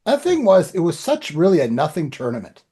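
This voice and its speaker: A man's voice: on the high side, huge pitch swings, a little fast, dark tone, breathy edge. A man telling anecdotes in a rambling, informal way as a podcast co-host. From Canada. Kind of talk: casual chat, anecdotes.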